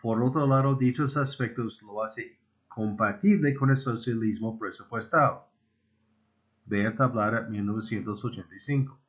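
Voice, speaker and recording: A man who speaks as a second-language speaker, reading a formal scripted lecture in a deliberate, slightly stumbling way. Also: medium pitch. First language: not Spanish (Spanish is his second language). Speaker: amateur inventor presenting his own proposal. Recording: muffled, phone-like narrow band.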